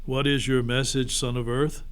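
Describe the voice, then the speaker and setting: A man voicing a calm, commanding male lion in a storybook, grave and measured. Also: medium pitch, clear, resonant tone, close to an everyday voice. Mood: grave authority.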